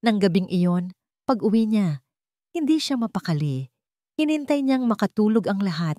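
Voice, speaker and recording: A woman narrating a scripted drama story in a measured, dramatic storytelling manner. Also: medium pitch, big pitch swings, dark tone, dry recording, clean studio sound.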